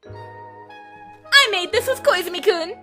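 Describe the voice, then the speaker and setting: A woman's voice: extremely high pitch, animated, takes it slowly, pushed and bright, soft background music, full character voice. A woman playing a brash, bossy, boastful teenage girl club leader in an anime-style audio drama. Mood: proud, boastful. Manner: brash, commanding.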